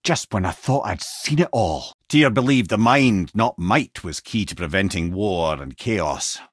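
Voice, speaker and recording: Wise, talkative old Scottish sage, game character. Wry, eloquent, widely varied pitch, dry studio sound. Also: male voice, expressive, measured pace, breathy edge, dry recording, exaggerated voice. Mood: sage advice. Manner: eloquent, wry storyteller with colourful old-fashioned turns of phrase. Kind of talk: video game character lines, storytelling and banter.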